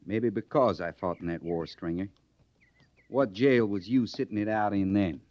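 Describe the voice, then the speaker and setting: Man as a gruff cavalry sergeant, dry muffled sound. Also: lowish voice, moderate pace, no echo, close to an everyday voice. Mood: stern, impatient. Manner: plain-spoken, folksy grammar, barking discipline. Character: gruff, no-nonsense cavalry sergeant, loyal and stern. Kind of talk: old-time radio western acting. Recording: muffled vintage radio recording.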